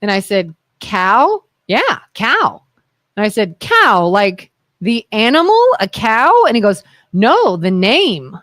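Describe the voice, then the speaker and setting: Lively storyteller woman on talk radio. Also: medium pitch, slow, no room sound, studio quality. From Ohio. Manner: animated storyteller who acts out the voices in her anecdotes. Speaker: co-host. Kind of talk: casual radio talk show chat. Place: radio studio.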